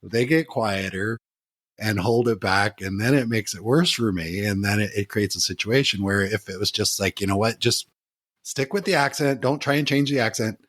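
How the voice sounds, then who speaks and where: A man's voice: on the low side, moderate pace, fairly bright tone, dry recording, clean studio sound. A man in his fifties hosting a podcast, asking long, rambling questions with restarts in casual conversation. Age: 50s. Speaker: podcast host.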